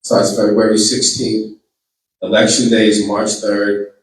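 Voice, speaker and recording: A man's voice: on the low side, level tone, measured pace. Boston-accented man speaking in an echoey hall. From Boston, Massachusetts. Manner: earnest, repeats himself. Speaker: political candidate. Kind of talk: campaign speech and Q&A. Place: large room with an audience. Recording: echoey room, distant mic.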